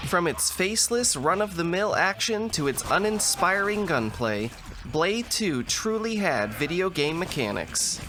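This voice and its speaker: A man delivering scripted comedic video essay narration in a deadpan, sarcastic way. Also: high-pitched, unhurried, soft background music.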